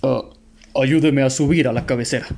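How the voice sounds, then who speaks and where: Man as a flustered radio-play policeman, Mexican accent, medium pitch, hesitant, stammering, halting phrases. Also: steady tone, gravelly, darkish tone, a little hiss or hum, close to an everyday voice. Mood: uncertain. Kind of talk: student radio drama acting.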